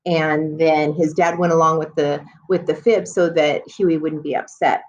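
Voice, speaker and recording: A woman's voice: low-pitched, little pitch movement, a little slow, a little mellow, muffled recording. A woman elementary school teacher leading a read-aloud lesson for children, explaining and addressing her listeners as she goes.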